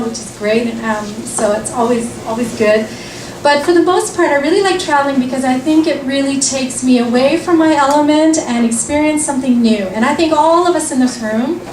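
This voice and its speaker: A woman from Vancouver hosting an event and reading from her book, chatty and full of ums and restarts. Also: sits high. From Vancouver, Canada. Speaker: event host and author. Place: lounge event room, indoors. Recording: roomy lounge, mic far off, noisy.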